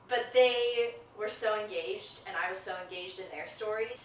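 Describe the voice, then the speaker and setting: A woman's voice: medium pitch, normal speed, roomy sound, far from the mic, slight background noise, rough recording. An American woman in her twenties, a university student, talking earnestly and reflectively in an interview, with frequent ums and likes. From United States. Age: 20s.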